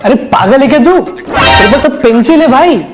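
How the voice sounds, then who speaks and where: A man acts as an excitable, scheming young buddy in a comedy sketch. He chides and urges in a pushy way, with prominent music behind him. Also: very high-pitched, normal speed. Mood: urging. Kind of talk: exaggerated comedy skit dialogue.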